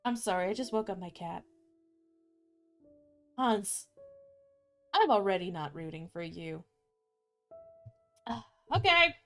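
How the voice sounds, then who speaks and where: A woman streamer reading fairy tales aloud, moving between casual, candid chat and expressive storybook narration. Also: mid-range voice, slow pace, long pauses, soft background music. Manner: expressive, informal, candid asides.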